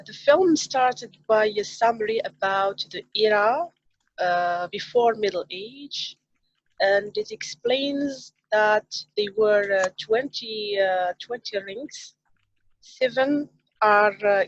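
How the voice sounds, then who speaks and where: A woman in her thirties from Morocco, a science teacher, retelling a film on a video call in halting English with many fillers. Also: medium pitch. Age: 30s. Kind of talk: casual storytelling on a livestream. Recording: dull lo-fi call audio.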